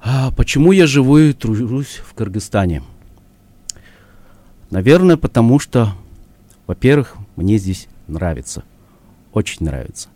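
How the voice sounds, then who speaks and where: A man answering interview questions as a studio guest on live radio, speaking in a thoughtful, hesitant way with frequent pauses. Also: medium pitch, big pitch swings, warm tone, no echo. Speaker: studio guest, ensemble artistic director. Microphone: studio microphone.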